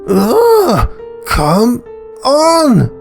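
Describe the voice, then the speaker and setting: A man's voice: extremely high pitch, huge pitch swings, very slow, gravelly, faint music behind, pitched above the performer's own voice, heavily acted. A man voicing a frustrated, fed-up teenager in a visual novel, wailing and exclaiming. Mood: exasperated.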